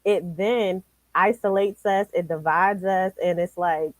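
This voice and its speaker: A woman's voice: lowish voice, steady tone, unhurried, a little hiss or hum. A woman talking in a casual conversation, affirming and reflective.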